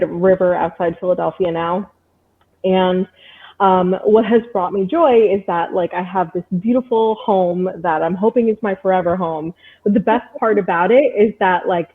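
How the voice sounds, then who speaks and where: Woman, author guest, bubbly, upbeat, tinny phone-like call audio. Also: fairly low pitch. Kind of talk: casual chat.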